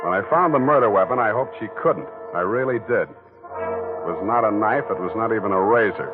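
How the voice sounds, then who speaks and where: Man doing a wisecracking hard-boiled detective, low and dry, tinny old radio, music. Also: animated, moderate pace, close to an everyday voice. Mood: wry. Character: hard-boiled male private detective narrating. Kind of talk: 1940s radio acting.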